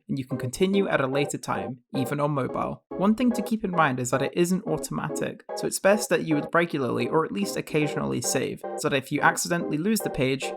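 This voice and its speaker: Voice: medium pitch, vocal fry. A narrator reading a scripted video game review.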